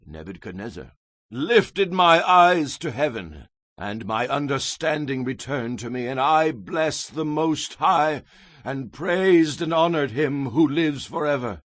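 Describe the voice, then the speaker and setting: Proud ancient king, acted by a man, solemn and declamatory, widely swinging pitch, dry scripture-drama recording. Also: lively intonation, slow pace, a bit bright, dry recording, heavily acted. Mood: exalted praise. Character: proud ancient Babylonian king, grandly boastful, solemnly devout.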